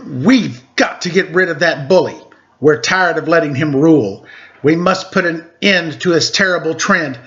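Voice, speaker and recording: A man's voice: a little high, normal speed, a bit bright. A man voicing cocky, fed-up little ninja pigs from a storybook, defiant and boastful. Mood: determined. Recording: dull, lo-fi sound.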